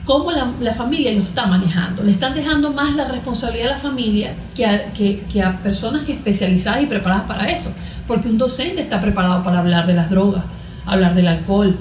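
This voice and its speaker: A woman speaks as an expert guest in an interview. Her voice sits a little high and she explains things emphatically, often posing questions to make her point. The recording has a clear room echo. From Venezuela. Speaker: guest therapist. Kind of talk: conversational interview answers on parenting. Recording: roomy, echoing sound.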